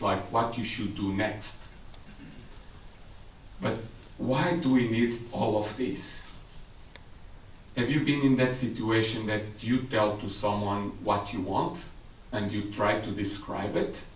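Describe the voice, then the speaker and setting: A man's voice: fairly low pitch, slight background noise. A man in his thirties with a Portuguese accent, giving a technical presentation at a seminar in a room with a large audience. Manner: explanatory, slightly non-native phrasing. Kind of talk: conference presentation. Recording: echoey room from far off.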